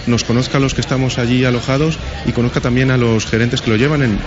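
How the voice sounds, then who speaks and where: A man's voice: mid-range voice, fairly even intonation, fast talker. A man speaking off the cuff as an entrepreneur guest, giving a testimonial. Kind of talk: spontaneous testimonial. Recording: dull sound, loud crowd noise.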